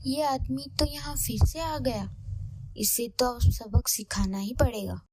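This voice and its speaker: Woman playing a stern high-voiced eldest fairy. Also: measured pace, no echo. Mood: stern, angry. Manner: stern, scolding. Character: stern eldest well fairy, female, scolding. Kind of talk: Hindi cartoon moral story dialogue.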